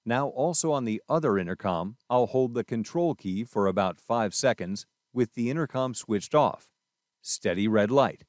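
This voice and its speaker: A man's voice: medium pitch, expressive, normal speed, darkish tone, no echo, studio quality. A man presenting a product tutorial, narrating scripted instructions step by step in a methodical way.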